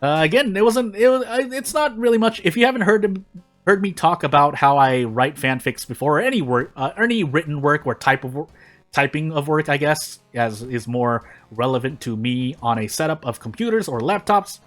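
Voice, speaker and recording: A man's voice: a little high, lively intonation, normal speed, a bit bright, faint music behind. A man hosting a gaming channel, giving casual, rambling gameplay commentary with self-deprecating asides.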